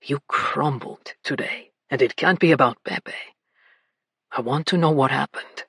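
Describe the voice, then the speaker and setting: A woman voicing a commanding, possessive grown man who speaks as a low, coaxing murmur. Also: fairly even intonation, moderate pace, fairly bright tone, breathy edge, dry recording, studio quality, heavily acted.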